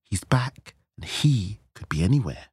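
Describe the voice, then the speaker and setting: A man's voice: fairly low pitch, big pitch swings, a little slow, husky, dry recording, studio quality, voice pushed up, exaggerated voice. A man voicing a gossipy, disdainful society lady with a gushing, affected manner in a comic story. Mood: earnest.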